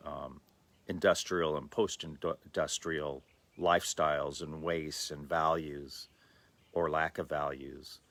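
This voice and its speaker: A man in his sixties from the Los Angeles area, giving a slow, thoughtful personal talk with many pauses and fillers. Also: very deep voice, creaky, fairly bright tone, no room sound. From Los Angeles area, USA. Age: 60s. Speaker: livestream host.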